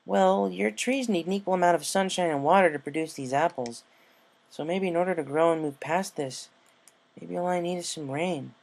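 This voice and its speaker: A cocky, carefree young female pony from a storybook , acted out by a man. The voice is pitched up and breezy, with a playful, cheerful lilt. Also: moderate pace, vocal fry, darkish tone. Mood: upbeat, thoughtful.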